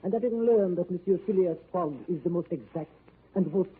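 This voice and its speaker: An actor playing an eager, deferential French manservant with a French accent, soft-spoken, in a 1930s radio play. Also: male voice, high-pitched, fairly quick, natural voice. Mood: earnest. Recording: tinny lo-fi 1930s radio broadcast, faint hiss.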